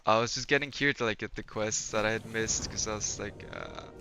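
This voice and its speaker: A man's voice: on the low side, steady tone, moderate pace, bright, forceful tone, slight background noise. A young man from Poland streaming a game, chatting casually with viewers, laid-back and swearing often. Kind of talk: casual live-stream chat while gaming. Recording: slightly dull lo-fi stream audio.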